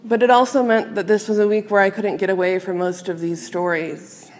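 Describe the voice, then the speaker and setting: A woman minister giving a sermon to a congregation, speaking in a measured, earnest and reflective way with pauses. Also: mid-range voice, level tone, darkish tone. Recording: long reverb of a large hall.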